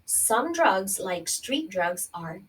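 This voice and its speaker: A woman's voice: medium pitch, very animated, takes it slowly, recorded from a distance. A woman teacher reading a health lesson aloud to children, addressing them directly and checking in as she explains. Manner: addresses young listeners directly with friendly check-ins like "okay?" and "right?". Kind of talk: read-along children's health lesson, pausing to explain and question.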